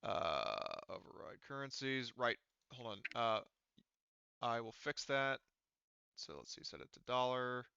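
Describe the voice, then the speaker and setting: A man's voice: medium pitch, lively intonation, unhurried, creaky, sharp tone, clean tone, dry recording, muffled recording. A man acting as game master in casual online play, explaining things hesitantly and thinking aloud as he goes.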